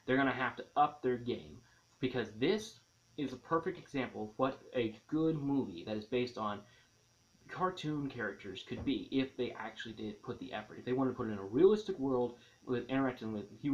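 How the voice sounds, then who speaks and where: A man's voice: medium pitch, expressive, fairly quick. A man with a mid-range voice hosts a casual movie review in slightly dull, lo-fi sound. He is enthusiastic and rambles, circling back to rephrase what he has just said.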